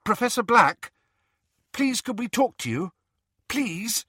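An earnest, anxious teenage girl , put on by a male narrator in a storybook. The voice is raised high and bright, polite but urgent and insistent. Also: big pitch swings, takes it slowly, husky, no echo, clean studio sound, exaggerated voice. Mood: polite, pleading.

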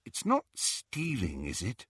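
A man's voice: a little high, sing-song, normal speed, a bit bright, breathy edge, no room sound, studio quality, voice pushed up, full character voice. A man voicing a bossy, anxious, clever teenage girl in an audiobook, with an urgent, earnest way of talking. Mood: troubled.

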